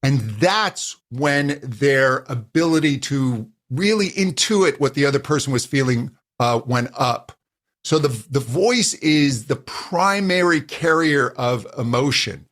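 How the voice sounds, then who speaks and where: A man's voice: mid-range voice, expressive, takes it slowly, a bit bright. Voice coach man explaining animatedly. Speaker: voice coach, guest. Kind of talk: explanatory interview answers.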